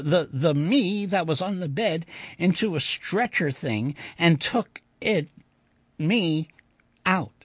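A man voicing the timid, plaintive ghost of a little girl in a storybook reading, childlike and halting in speech. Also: high voice, dry recording, voice pushed up. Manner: childlike, halting, stammering when upset.

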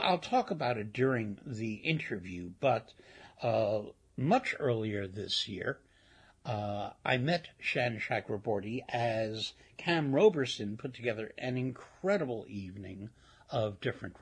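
A man's voice: mid-range voice, slightly rough. A man hosting a radio show, giving a chatty, rambling introduction with playful asides. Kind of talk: casual spoken introduction.

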